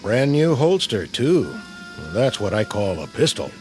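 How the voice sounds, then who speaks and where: An American actor playing a weary, cynical platoon sergeant in a radio drama, dry and colloquial. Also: male voice, medium pitch, sing-song, unhurried, a little mellow, soft background music. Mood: dry, wry.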